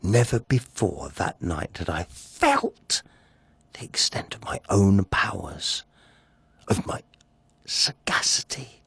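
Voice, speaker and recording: Theatrical, unhinged murderer, wildly swinging pitch. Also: male voice, slow, no echo, full character voice. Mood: secretive, tense. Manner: theatrical, drops into breathy whispers, swings to excited outbursts. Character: nervous madman murderer confessing, insisting on his sanity. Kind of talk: dramatic Gothic short story reading.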